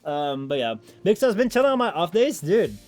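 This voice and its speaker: Animated Dutch-accented male streamer. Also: high-pitched, fairly quick, soft background music. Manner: rambling, filler-heavy, swears often. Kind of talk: casual stream chat, reading out chat messages.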